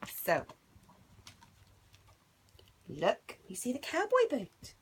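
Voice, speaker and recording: A woman's voice: fairly high pitch, wildly swooping pitch, extremely slow, slight background noise. A woman reading a picture book aloud to her little son at home, playful and sing-song. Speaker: parent reading to a child.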